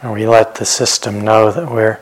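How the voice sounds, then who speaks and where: A man giving guided meditation instructions as a teacher, speaking gently and unhurriedly with pauses and phrases that trail off softly. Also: fairly low pitch, little pitch movement, rough recording. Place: meditation retreat center.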